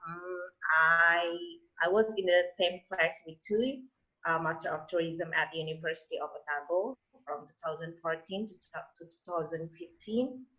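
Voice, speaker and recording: A woman from Indonesia introducing herself as a webinar panelist, halting with fillers. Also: lowish voice, a bit bright. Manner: hesitant, halting. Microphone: video call. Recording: dull video-call audio.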